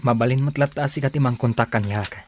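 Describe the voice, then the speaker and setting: A man's voice: medium pitch, fast, a little mellow, natural voice. A man with an Ilocano accent playing a stubborn, lovelorn suitor in a radio drama. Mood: pleading, stubborn. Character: persistent, lovelorn male suitor who refuses to give up.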